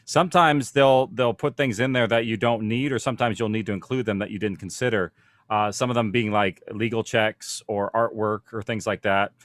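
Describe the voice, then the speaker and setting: A man's voice: fairly low pitch, fairly even intonation, moderate pace, a bit bright, no echo. A man speaking conversationally as a panelist, explaining production matters to others. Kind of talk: conference panel discussion.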